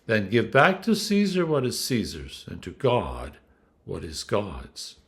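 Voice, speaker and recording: A man voicing a calm, firm, shrewd male teacher in a scripture reading, measured and emphatic. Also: fairly low pitch, heavily acted.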